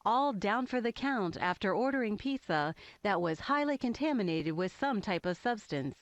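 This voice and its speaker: An actress playing a female TV news anchor with a measured newsreader delivery. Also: mid-range voice, expressive, highs cut off, lightly acted. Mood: newsreading.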